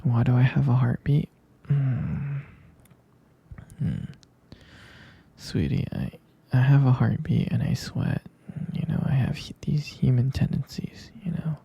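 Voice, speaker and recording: A man playing a gentle, reassuring young vampire boyfriend in an intimate sleep-aid ASMR roleplay, murmuring tenderly and hesitantly in a breathy, husky whisper. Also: medium pitch, animated, unhurried, lo-fi sound, full character voice.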